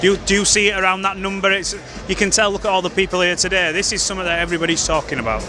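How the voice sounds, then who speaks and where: Brisk fast-talking male interviewer. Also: high voice, steady tone. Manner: brisk, eager questioning. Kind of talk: press interview questions. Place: outdoors at a busy stadium event. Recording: music playing behind.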